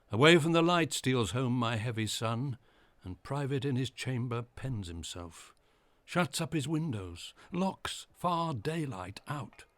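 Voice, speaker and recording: A man's voice: lowish voice, dry recording. A man playing a grave, troubled noble father in a Shakespearean audio drama.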